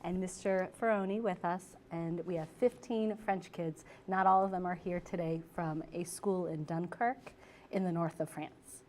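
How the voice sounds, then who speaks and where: A friendly woman in her forties organizing a school exchange, speaking briskly in a small studio. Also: fairly low pitch, steady tone, a little mellow, a little hiss or hum.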